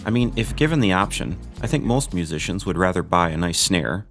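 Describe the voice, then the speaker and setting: A man's voice: low voice, expressive, a little fast, a bit bright, faint music behind. A man hosting a gear review video, narrating from a script with dry, joking asides.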